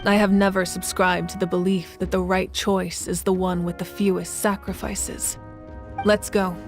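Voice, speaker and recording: A proud, resolute female leader of warriors, played by an actress for a video game. She speaks in solemn, measured declarations with calm command. Music plays softly underneath. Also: fairly low pitch, lightly acted. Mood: solemn resolve. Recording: music behind the voice.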